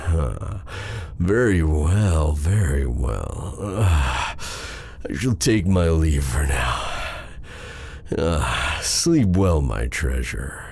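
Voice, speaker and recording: A man playing a possessive, silky dark sorcerer in a theatrical fantasy ASMR roleplay, drawing out courtly endearments with quiet menace. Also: extremely low pitch, animated, extremely slow, vocal fry, slightly rough, full character voice. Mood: soft, possessive.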